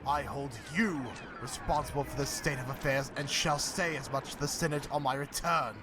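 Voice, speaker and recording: A man's voice: mid-range voice, fairly even intonation, moderate pace, background noise, natural voice. A man voicing a bitter, blustering, blaming military commander in a comic dub, indignant and pompous.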